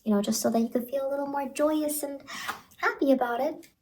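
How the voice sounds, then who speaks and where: A sinister clown lady acted by a woman speaks in a high, soft voice, luring and falsely reassuring the listener. It is horror ASMR roleplay, recorded close to the mic with clear noise behind the voice. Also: fairly quick, close to an everyday voice. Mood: sly, luring. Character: sinister, coaxing female ice cream truck clown. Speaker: ASMR performer. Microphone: close ASMR microphone.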